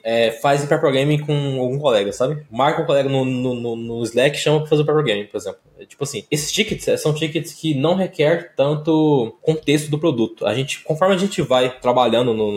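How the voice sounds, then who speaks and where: A man's voice: medium pitch, a little mellow, reverberant. A young man from the interior of Goiás, Brazil, with a local accent, chatting casually and telling stories in a slangy, rambling way. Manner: informal, slangy, rambling with many verbal tics. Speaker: podcast guest, software engineer. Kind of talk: casual chat, storytelling.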